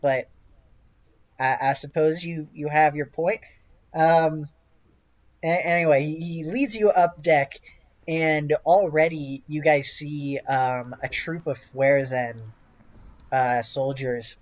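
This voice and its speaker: A man narrating an improvised tabletop game as game master, with a hesitant, halting delivery. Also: a little high, steady tone, muffled recording.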